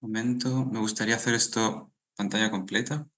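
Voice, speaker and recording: Low, even-toned young man, bright voice. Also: brisk. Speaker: guest architect, award winner. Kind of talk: polite spoken presentation on a video call.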